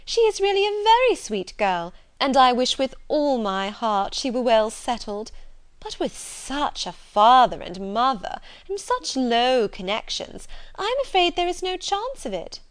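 A woman performs a haughty, gossipy young lady in a period audiobook. Her pitch sits high and leaps about with scorn. She leans hard on stressed words, drawing them out as if scandalised. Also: big pitch swings, measured pace. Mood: mock-sweet disdain. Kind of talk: audiobook character dialogue from a period novel.

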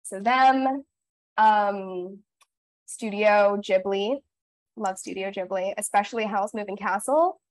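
Young woman guest, bubbly and chatty, video-call sound. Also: mid-range voice. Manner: bubbly, upbeat, quick to laugh. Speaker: voice actress guest. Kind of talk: casual video-call interview. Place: home room in front of blue backdrop. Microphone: webcam video call.

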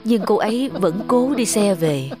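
A man voicing a regretful elderly widower in an animated moral drama, telling his story slowly and sorrowfully. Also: sits high, music in the background. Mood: regretful, grieving. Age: older.

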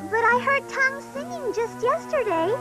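A woman's voice: very high-pitched, animated, normal speed, over loud music. A woman voicing a curious young female kitten in a TV cartoon who keeps asking questions. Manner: inquisitive, asks many questions. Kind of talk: TV cartoon acting.

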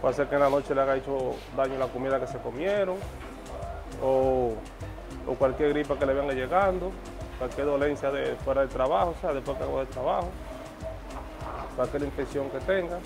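A man's voice: mid-range voice, vocal fry, low-quality recording. A middle-aged man, a horse groom, explaining his work in an interview at a wooden stable. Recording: music laid over location speech.

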